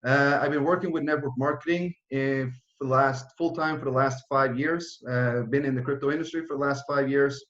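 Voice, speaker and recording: A man's voice: mid-range voice, steady tone, fairly bright tone. Man in his thirties, roomy lo-fi sound. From Norway. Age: 30s. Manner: fast, run-on, with frequent restarts and fillers. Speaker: project founder presenting. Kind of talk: online presentation with Q and A.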